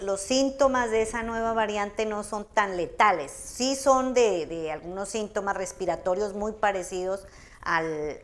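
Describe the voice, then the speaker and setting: Woman, author at a public talk, measured and explanatory. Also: mid-range voice. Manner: measured, explanatory, frequent "¿no?" tags. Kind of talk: explanatory talk answering audience.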